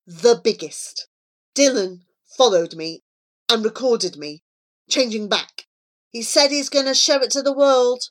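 A woman voicing an anxious, excitable schoolboy who pleads and exclaims in a children's story. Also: a little high, measured pace, voice pushed up, close to an everyday voice. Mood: worried.

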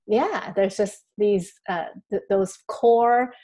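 A woman's voice: mid-range voice, slow pace, warm tone. A woman from Taiwan, an author being interviewed, speaking in a reflective, thoughtful way. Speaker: author and guest. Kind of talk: interview conversation.

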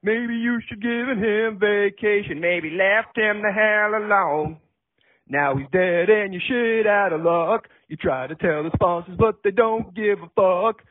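A man's voice: high voice, measured pace. A man playing a laid-back, wisecracking singer-songwriter in a comic audio play. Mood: jaunty parody. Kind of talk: comic audio play, talk and parody singing. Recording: tinny, narrow phone-like sound.

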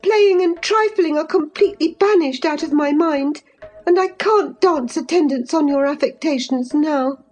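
A woman's voice: sits high, moderate pace, darkish tone, soft background music, voice pushed up, lightly acted. A woman voicing a scornful, passionate young lady in a storybook, scolding with emphatic, imperious phrasing. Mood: indignant, impatient.

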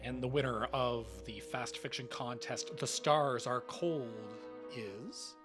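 A man's voice: medium pitch, slow, over music. A man hosting a podcast, delivering scripted announcements. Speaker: podcast host.

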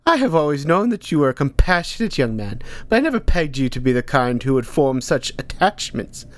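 A man's voice: on the high side, big pitch swings, no room sound. A man voicing a stern, scolding older woman who teaches healing. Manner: brisk, scolding.

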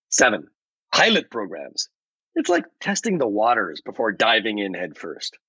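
A man's voice: fairly high pitch, bright, forceful tone, breathy edge, dry recording, heavily acted. A man voicing an upbeat, folksy AI chatbot advisor in scripted explanatory narration, theatrical and emphatic, on a podcast. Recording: dull, lo-fi but dry.

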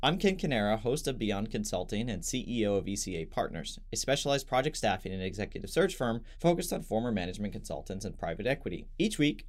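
A man's voice: medium pitch, a little mellow. A middle-aged man hosting a podcast, reading a polished intro and asking interview questions in an upbeat way. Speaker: podcast host.

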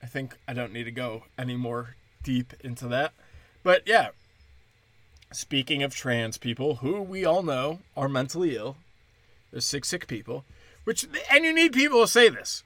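A young man, a stand-up comedian, rambling crudely and mockingly through a solo podcast, breaking into high mimicking voices. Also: sing-song, normal speed, sharp tone, no echo.

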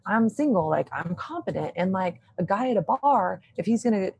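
A woman in her thirties chatting as a podcast guest, talking in animated bursts full of "like" and quick laughs, with a loud, opinionated way of speaking. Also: medium pitch, vocal fry, a little hiss or hum. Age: 30s. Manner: says she has a loud voice, opinionated. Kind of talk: casual chat.

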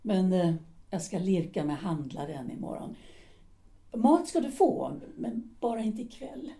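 An actress playing an embittered middle-aged wife and former actress, trapped in an unhappy marriage, in an audio drama. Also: female voice, mid-range voice, sing-song, warm tone. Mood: despairing. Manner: bitter, despairing. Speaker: actor.

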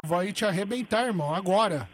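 A man's voice: high-pitched, animated, fast talker, heavy background noise. A man, hosting a radio show, teasing and provoking a stranger in a prank call. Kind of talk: prank phone call and studio chat.

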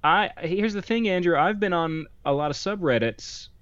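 A man's voice: sits high, normal speed, fairly bright tone, smooth, no room sound. Jokey rambling man, dull dry sound. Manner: jokey, rambling, many fillers and restarts. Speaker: podcast co-host. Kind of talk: casual banter over a board game.

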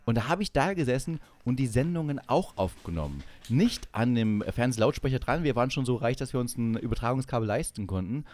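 A man's voice: medium pitch, lively intonation, a little fast, hardly any pauses, darkish tone. A man around his forties co-hosting a casual podcast, rambling through memories with emphatic enthusiasm. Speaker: podcast co-host. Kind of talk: casual podcast chat with reminiscing.

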